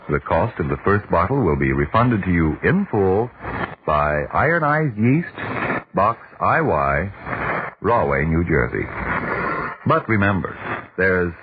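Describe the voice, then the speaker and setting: A 1940s radio announcer, a man with a lowish voice that swings widely as he sells with polished emphasis. The broadcast is narrow and tinny with clear noise. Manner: polished sales pitch, emphatic. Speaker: radio announcer reading a sponsor's ad.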